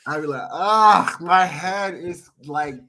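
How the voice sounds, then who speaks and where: Nasal, congested man, animated and higher-pitched. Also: slow pace. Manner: animated storyteller, jokey and expressive. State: congested with a head cold. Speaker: podcast co-host. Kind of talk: casual podcast chat.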